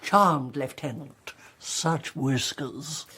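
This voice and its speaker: An actress with an English accent playing a rich, imperious and mischievous older spinster aunt in a radio drama. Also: female voice, low voice, extremely slow, bright, forceful tone, breathy edge, exaggerated voice. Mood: gleefully amused. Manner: grand, fussy, gleefully amused. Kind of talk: British radio drama acting.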